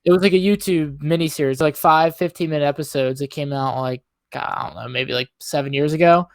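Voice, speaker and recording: A man's voice: fairly high pitch, steady tone, brisk, creaky, no echo. Young man chatting casually, dry close sound. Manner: informal, rambling explainer. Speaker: podcast co-host. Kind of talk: casual pop-culture chat.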